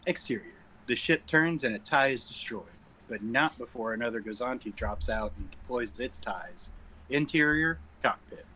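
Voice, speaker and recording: Guy reading stage directions at a table read, bright forceful voice, medium pitch. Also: normal speed, slight background noise, low-quality recording. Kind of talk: script narration at a table read.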